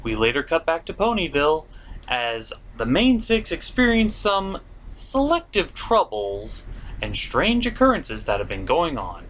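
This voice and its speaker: A man's voice: on the high side, huge pitch swings, a little slow, fairly bright tone, a little hiss or hum. A man hosting a comedic review, narrating from a script with sarcastic, mock-exasperated asides. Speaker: host of a comedic cartoon review show.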